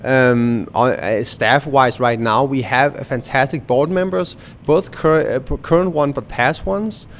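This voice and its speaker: A man's voice: medium pitch, unhurried, few pauses. A young adult man from Denmark with a Danish accent, a museum director chatting in a radio interview with lots of fillers and restarts. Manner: hesitant, many fillers and restarts.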